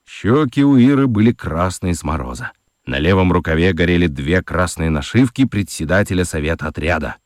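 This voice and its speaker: A man narrates a children's radio story in an expressive, scripted style. His voice is low and swings widely in pitch, recorded close and dry. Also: fairly quick, no echo. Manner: expressive, dramatic reading.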